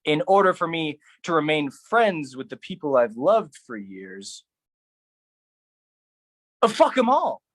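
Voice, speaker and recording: Slow, theatrical solo monologue performer. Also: sing-song, dry recording, clean studio sound. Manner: theatrical, intense, swinging between low musing and raised outbursts. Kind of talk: dramatic spoken-word monologue performance.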